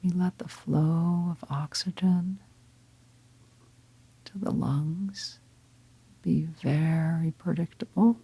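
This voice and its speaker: A woman leading a guided meditation in a calm, gentle, breathy half-whisper, unhurried and with long pauses. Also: deep voice, no room sound. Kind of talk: guided meditation, slow soft-spoken instruction.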